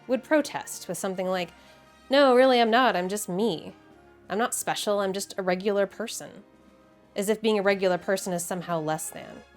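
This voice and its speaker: A woman with an American accent narrates a scripted video essay in a wry, conversational, emphatic way with casual asides. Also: medium pitch. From Midwestern United States.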